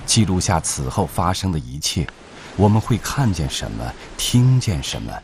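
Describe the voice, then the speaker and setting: A man narrating a documentary in a calm, measured scripted voice-over. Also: deep voice, noisy background. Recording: voice-over under loud music.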